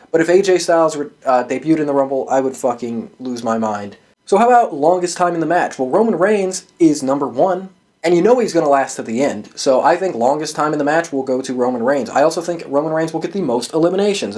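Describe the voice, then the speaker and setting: A man's voice: medium pitch, a little mellow. A man hosting a predictions show, giving casual, opinionated commentary with plenty of swearing. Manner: sweary, opinionated fan talk. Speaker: host of a wrestling predictions show.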